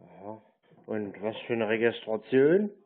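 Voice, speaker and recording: Deadpan man on a phone-like line. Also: on the low side. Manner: deadpan, repetitive, playing slow to understand. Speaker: scam baiter answering calls. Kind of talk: deadpan phone prank chat. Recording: narrow-band phone-like sound.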